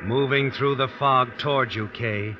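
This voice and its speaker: An actor playing an ominous, all-knowing storyteller, narrating an old-time radio mystery in a suspenseful, insinuating manner. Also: male voice, medium pitch, fairly even intonation, unhurried, lightly acted. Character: ominous, all-knowing shadowy storyteller who walks by night. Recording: muffled vintage radio recording. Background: music.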